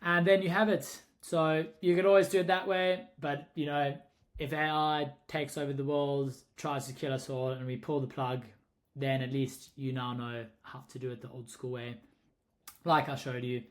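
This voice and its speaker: South African man, flat rambling tutorial voice. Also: mid-range voice, moderate pace. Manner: rambling, self-correcting, thinks aloud. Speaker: short-term rental host explaining pricing. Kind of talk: casual screen-recorded tutorial.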